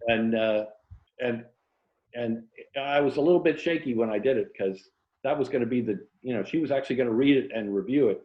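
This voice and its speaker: A man's voice: mid-range voice, brisk. An older American man in a casual interview about his craft, thinking aloud in a conversational way. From Tampa, Florida, United States. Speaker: author, interview guest. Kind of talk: casual literary interview chat. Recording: muffled, echoey room audio.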